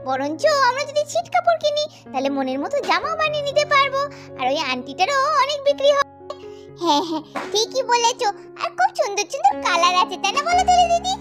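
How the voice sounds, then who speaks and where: A woman's voice: very high-pitched, very animated, fast talker. A woman voicing an eager, opinionated little girl doll in a doll story. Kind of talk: doll-story role play. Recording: loud children's music behind voice.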